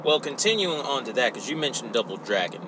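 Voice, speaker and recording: A man's voice: medium pitch, sing-song, quick pace, noisy background. A man co-hosting a podcast, chatting casually and exclaiming often. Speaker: podcast co-host. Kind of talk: casual chat.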